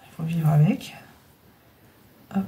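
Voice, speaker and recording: A woman's voice: deep voice, slow pace, warm tone, a little hiss or hum. A middle-aged woman with a cold and a blocked nose, chatting casually to viewers about her scrapbooking, hesitant and full of fillers. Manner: hesitant, many fillers and restarts. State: congested with a cold, breathing heavily. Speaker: scrapbooking vlogger. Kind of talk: casual rambling chat to viewers. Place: home craft room.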